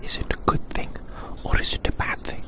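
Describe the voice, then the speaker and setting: An ASMR performer speaking in a breathy, unvoiced whisper, softly musing to the listener and gently repeating phrases in a roleplay. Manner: hushed, slow repetition of phrases, gently musing. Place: outdoors.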